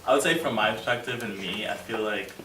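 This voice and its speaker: A man's voice: fairly low pitch, brisk. A man in his twenties speaking earnestly in a casual group discussion, rambling and using many fillers. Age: 20s. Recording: echoey room, distant mic, faint noise.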